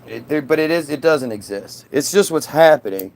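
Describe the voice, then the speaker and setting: A man's voice: a little high, animated, moderate pace, a little mellow. A man in a casual, combative political rant, dismissive and sweary as he answers chat comments. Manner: frequent swearing.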